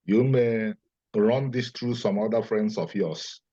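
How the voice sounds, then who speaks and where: A man's voice: fairly low pitch, dark tone. Earnest Igbo-accented man, lo-fi audio. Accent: Nigerian Igbo. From Nigeria, Igbo land. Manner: earnest, emphatic, deliberate. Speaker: scholar and interview guest. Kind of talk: interview, lecturing. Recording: dull lo-fi audio.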